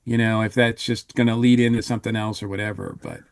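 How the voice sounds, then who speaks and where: A man in a casual review discussion as a podcast panelist, talking haltingly with trailing restarts. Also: fairly low pitch, flat delivery, no room sound.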